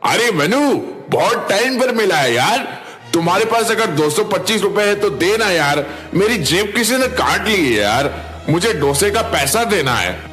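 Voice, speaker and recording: A man's voice: high-pitched, sing-song, unhurried, fairly bright tone, heavily acted. A man voicing a brash, chummy young friend in a cartoon, with slangy, teasing talk. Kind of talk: Hindi cartoon story dialogue. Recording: background music under voice.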